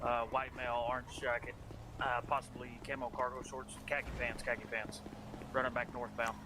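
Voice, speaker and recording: A man's voice: mid-range voice, little pitch movement, fairly quick, natural voice. A man playing a police officer, giving pursuit callouts in a game roleplay. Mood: urgent, businesslike. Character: male police officer calling a foot pursuit. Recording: clearly noisy game audio, street ambience.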